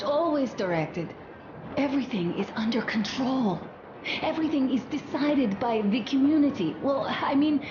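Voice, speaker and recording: A woman's voice: fairly high pitch, highs cut off. An actress playing an earnest young woman who confides her doubts intimately and emphatically in a post-apocalyptic video game. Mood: scornful, indignant. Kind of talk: video game dramatic monologue. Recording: roomy, clear background ambience noise.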